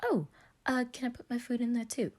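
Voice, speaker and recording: A woman's voice: a little high, a little fast, voice pushed up, natural voice. Woman voicing a small, wide-eyed, playful young man. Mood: eager.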